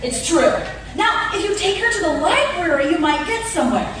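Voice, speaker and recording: A woman's voice: very high-pitched, expressive, normal speed. A woman playing a wry, scheming, melodramatic character in comic radio theatre, arch and mock-tragic. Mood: sardonic. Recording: distant, very echoey, noisy stage recording.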